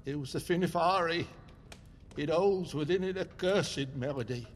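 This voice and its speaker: An actor playing a very old, haunted survivor, telling his tale gravely and theatrically in an audio drama. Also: fairly high pitch, normal speed, a little hiss or hum, lightly acted. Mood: grave, resolved. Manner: grave, theatrical, emphatic storytelling. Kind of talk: dramatic audio fiction narration. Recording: music behind the voice.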